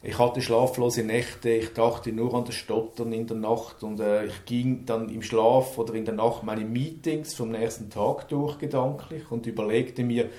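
A man's voice: medium pitch, little pitch movement, a little slow, few pauses, warm tone, echoey room. A man, an interview guest speaking earnestly about his life, stuttering and repeating words. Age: 50s. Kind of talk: personal interview.

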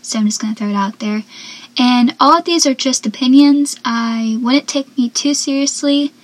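A seventeen-year-old girl with a soft, young-sounding voice, chatting casually as a podcast host and dropping into whispered asides. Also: sits high, normal speed, no echo. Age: teens. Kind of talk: casual solo podcast chat.

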